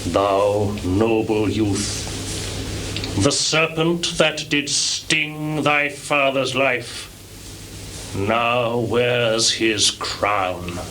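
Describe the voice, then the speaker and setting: Dead old king's ghost, grave shakespeare delivery, dragged out real slow, noisy theatre tape. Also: mid-range voice. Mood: grave, accusing. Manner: grave, drawn-out declamation. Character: solemn, wronged ghost of a murdered old king. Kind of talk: live Shakespearean stage recitation. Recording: noisy 1980s live theatre recording.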